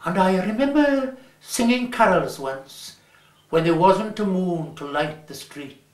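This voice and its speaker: A man with a Welsh accent reading a story aloud, measured and lingering on his words. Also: high voice, lively intonation. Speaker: reader. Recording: roomy echo.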